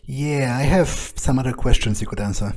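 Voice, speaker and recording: A cynical, arrogant male vampire, improvised by a man playing a game. His voice is dark and relaxed at a middling pitch. He talks bluntly, with halting phrases and casual swearing. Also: natural voice. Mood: probing. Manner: hesitant, blunt, profane. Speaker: gamer roleplaying his character. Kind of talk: improvised in-character video game roleplay.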